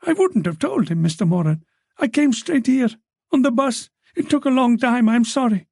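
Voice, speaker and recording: A man's voice: very high-pitched, lively intonation, normal speed, gravelly, darkish tone, no room sound, studio quality, voice pushed up, full character voice. A man voicing a frightened young woman who apologizes and pleads as she tells her story.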